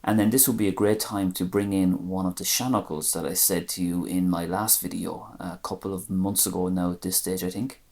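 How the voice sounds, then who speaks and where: A man with an Irish accent teaching a language lesson, saying phrases slowly and carefully one at a time. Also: low voice, fairly even intonation, a little mellow. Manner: slow, careful phrase-by-phrase pronunciation.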